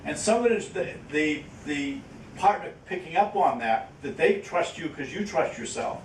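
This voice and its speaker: A man speaking informally at a question-and-answer session, haltingly, repeating words as he explains with examples. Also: expressive. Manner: halting, repeats words, illustrates with acted-out quotes. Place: echoey indoor conference room. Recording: roomy, distant mic, faint rumbling noise.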